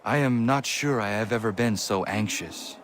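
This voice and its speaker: An actor playing a stoic young colonial-era man in a video game, with formal, terse, measured speech. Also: on the low side, a bit bright, slight background noise, lightly acted. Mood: calm, reserved.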